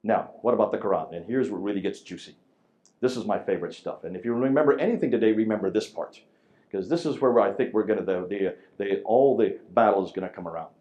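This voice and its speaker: A man giving an emphatic lecture to a church audience, with a very loud voice, firing rhetorical questions at his listeners. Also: lowish voice, quick pace, muffled recording.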